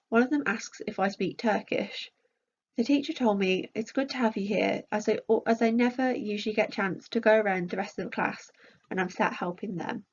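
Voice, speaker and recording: A woman's voice: medium pitch, slightly rough, dull audio. A woman reading out a scripted academic conference presentation as a researcher.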